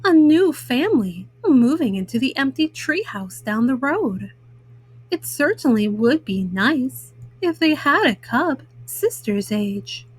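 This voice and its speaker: Woman as a high-voiced, motherly mama bear. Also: big pitch swings, takes it slowly, breathy edge, heavily acted. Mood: hopeful. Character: caring, wistful mama bear, grown female.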